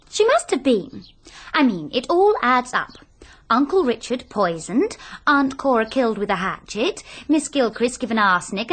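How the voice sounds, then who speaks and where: A dramatic, high-voiced British society lady. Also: fairly bright tone. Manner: emphatic, dramatic. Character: outspoken, theatrical young society woman, suspicious. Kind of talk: radio drama acting.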